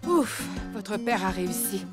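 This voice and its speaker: A woman playing a caring, gently worried elephant mother in a children's cartoon, soothing and expressive. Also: medium pitch, a little slow, close to an everyday voice. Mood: concerned. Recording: music behind the voice.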